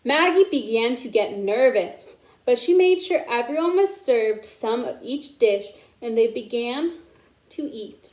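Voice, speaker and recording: A woman hosting children's story time, reading a picture book aloud and explaining it simply in an animated way. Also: a little high, unhurried. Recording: echoey room.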